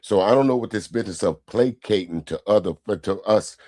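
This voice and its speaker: A man's voice: fairly low pitch, a little fast, a little mellow, dry recording. Man host, dry close sound, argumentative. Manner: argumentative, rhetorical questions. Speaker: livestream host. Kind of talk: casual live commentary, replying to viewer comments.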